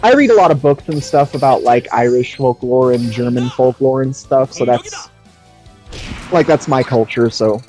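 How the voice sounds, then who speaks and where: A man chatting casually on a gaming livestream in a rambling, excitable, sweary way. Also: medium pitch, moderate pace. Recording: music behind the voice. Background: music.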